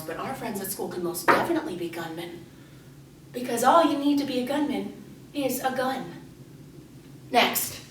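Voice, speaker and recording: A woman performing an intense, falsely sweet schoolteacher who addresses children in a theatrical monologue with crisp diction and dramatic pauses. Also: high voice, a bit bright. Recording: echoey room, distant mic, faint noise.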